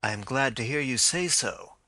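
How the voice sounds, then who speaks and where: A man voicing a calm male detective who speaks gently and gravely. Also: medium pitch, dry recording, heavily acted. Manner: measured and gentle, gravely reassuring.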